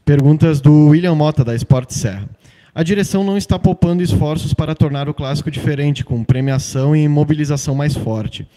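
A man reading out journalists' questions for a club press conference in a steady tone. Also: a little high, a little fast, slight background noise.

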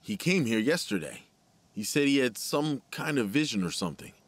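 A man's voice: mid-range voice, big pitch swings, moderate pace. An actor playing a worried, weary father in an audio drama, speaking in a blunt, plain-spoken way. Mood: troubled, blunt.

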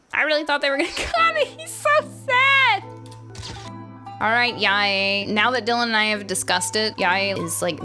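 Animated, bright-voiced woman game streamer. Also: medium pitch. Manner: animated, playful, exclaiming and teasing. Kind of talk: casual live gameplay commentary. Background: game music.

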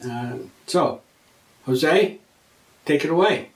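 An older man introducing his own web show, speaking haltingly with many pauses and fillers, in a small studio. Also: on the high side, lively intonation. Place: small studio in front of a virtual set. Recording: roomy, echoey room.

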